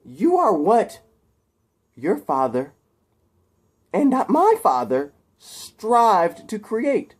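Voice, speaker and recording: A man's voice: high-pitched, huge pitch swings, drawn-out words, pauses often, darkish tone, husky, pitched above the performer's own voice, heavily acted. A man voicing an unhinged young rich heir turned villain, speaking intensely in emphatic, ranting phrases. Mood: manic, grandiose.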